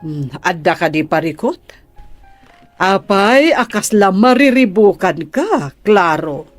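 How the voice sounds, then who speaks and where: A woman's voice: medium pitch, lively intonation, slow pace, dry recording, soft background music. A woman playing a bossy, inquisitive lady of the house in an Ilocano radio drama. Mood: probing, suspicious.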